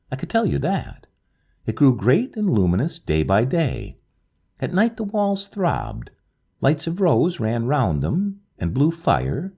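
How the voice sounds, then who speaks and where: Solemn male reader with a gravelly voice. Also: darkish tone. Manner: slow, solemn, dreamlike delivery with wide swings in pitch. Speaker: audiobook narrator. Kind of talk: expressive literary reading.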